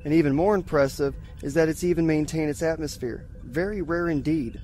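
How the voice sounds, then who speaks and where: An eager science lieutenant in a sci-fi audio drama, played by a man. His voice sits a little high for a male, and he explains things with scholarly relish. Light music plays behind him. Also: brisk, mellow, natural voice. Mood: intrigued, relishing.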